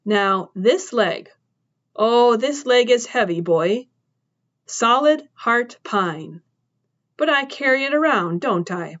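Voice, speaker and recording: A woman's voice: a little high, expressive, slow pace, fairly bright tone, muffled recording. A woman voicing a tough, wary middle-aged farm woman with a faint sing-song accent, who questions sharply. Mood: interrogating.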